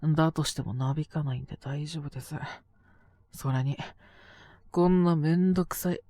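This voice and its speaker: A man's voice: fairly high pitch, moderate pace, mellow, no room sound, clean studio sound, natural voice. A man playing a sick, sleepy young boyfriend in an audio drama, grumbling casually in a murmur, exasperated yet fond. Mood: exasperated, scolding. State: coughing, acting sick with a cold. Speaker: voice actor. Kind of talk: Japanese situation voice drama aimed at listeners.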